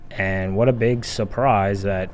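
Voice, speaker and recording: A man's voice: on the low side, steady tone, unhurried, a little hiss or hum. A man giving an unscripted, exasperated video game critique with a lot of swearing. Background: game audio.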